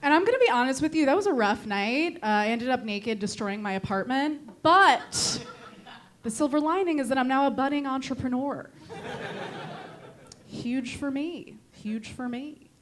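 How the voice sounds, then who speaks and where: A woman's voice: high-pitched, animated, normal speed, slight background noise. A young woman from Connecticut doing a stand-up set on a club stage, dry and self-deprecating, with a Connecticut accent. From New England, Connecticut. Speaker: stand-up comedian.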